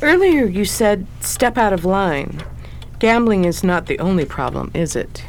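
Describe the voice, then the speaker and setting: An actress playing a shrewd, self-assured woman sleuth in a radio drama, with a brisk, knowing, wry delivery. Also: medium pitch, big pitch swings, darkish tone, slight background noise. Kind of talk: old-time radio drama acting.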